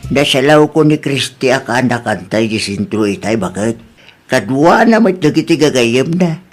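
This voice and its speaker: A man's voice: mid-range voice, lively intonation, moderate pace, soft background music, natural voice. A man acting an inquisitive uncle in an Ilocano audio drama. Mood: curious.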